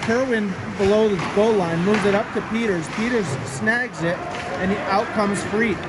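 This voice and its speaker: A hoarse man with a cold, calling hockey play-by-play in a brisk, matter-of-fact way. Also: high voice, very noisy. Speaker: play-by-play commentator.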